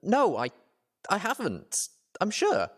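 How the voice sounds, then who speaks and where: A man's voice: sits high, wildly swooping pitch, slow pace, pitched above the performer's own voice, heavily acted. A man voicing an impassioned young female pony in an audiobook-style story, exclaiming and pleading with strong emotion. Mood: flustered, defensive.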